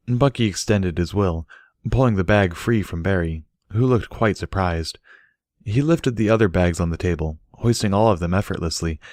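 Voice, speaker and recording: A man reading scripted story narration in a measured, earnest way. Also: sits low, no room sound, studio quality. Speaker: audiobook narrator.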